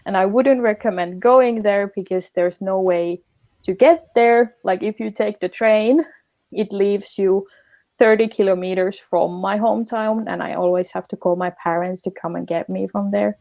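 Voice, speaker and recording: A woman's voice: medium pitch, steady tone, moderate pace, darkish tone. A young woman, chatting casually as an interview guest, with many fillers. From Finland. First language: Finnish.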